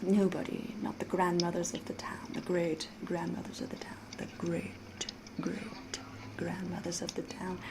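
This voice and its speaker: A woman acting as ancient vampire woman, ASMR roleplay, very slow, soft whispery voice, coy and ominous, noisy ambience behind. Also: mid-range voice. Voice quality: breathy, soft, often whispering. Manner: coy, deliberate, ominous, theatrical, drawn-out phrasing.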